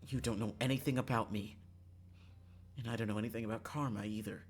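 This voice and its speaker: A man's voice: fairly low pitch, steady tone, fast talker, soft background music, close to an everyday voice. A man voicing a blunt, guarded young princess in a visual novel game, with a curt, cold and indignant manner.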